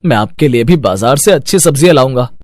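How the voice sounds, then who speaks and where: A man's voice: mid-range voice, lively intonation, quick pace, a little mellow, no echo, clean studio sound, close to an everyday voice. A man playing an earnest, hardworking young cook in a Hindi moral cartoon story, speaking emphatically. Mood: eager, determined. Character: earnest hardworking young son, a poor dhaba okra cook.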